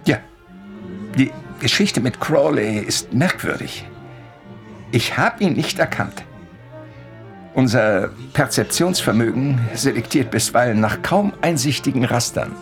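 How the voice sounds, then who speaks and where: An actor playing a grave, learned professor in a German radio play, cryptic and disdainful, with a pompous, deliberate manner. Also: male voice, mid-range voice, animated, faint music behind. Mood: pensive.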